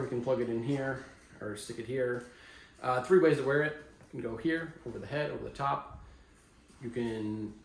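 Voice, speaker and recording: A man giving a casual how-to demonstration on audio setup for dance classes, relaxed and rambling with blunt opinions. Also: medium pitch, a little hiss or hum. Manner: relaxed, rambling, many fillers and restarts. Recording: echoey room.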